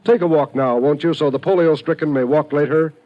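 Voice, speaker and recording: A man's voice: fairly high pitch, a little fast, dull audio. A man with an American accent announcing in scripted 1950s radio style, earnest and declamatory.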